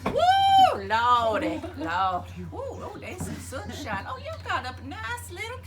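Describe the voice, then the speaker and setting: Figure: hollering trail mama, put on by a woman. Pitch: high and swooping. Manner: theatrical, exclamatory. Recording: outdoors with heavy noise behind. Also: wildly swooping pitch, slow, heavily acted. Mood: hammy. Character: loud folksy Southern mama hiker, middle-aged woman. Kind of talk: comic character bit.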